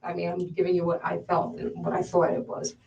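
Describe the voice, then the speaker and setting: A woman's voice: lowish voice, completely flat delivery, a little fast, creaky, darkish tone, muffled recording. An older woman answering police questions as a suspect, defensive and justifying herself. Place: police interview room. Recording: noisy echoey interview room, distant mic.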